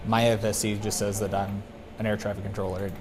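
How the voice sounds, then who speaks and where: A man who works as an air traffic controller, answering interview questions about his job in an earnest, measured way. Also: lowish voice, level tone. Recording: faint background noise.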